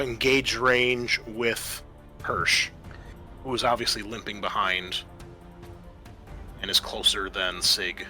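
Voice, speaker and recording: A man's voice: on the low side, bright, forceful tone. A man acting as game master, narrating a tabletop roleplaying game in a conversational way.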